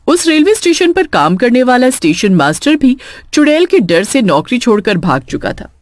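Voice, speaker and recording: A woman's voice: fairly high pitch, very animated, moderate pace, dry recording, studio quality. A woman narrating a scripted horror story in a dramatic storytelling style.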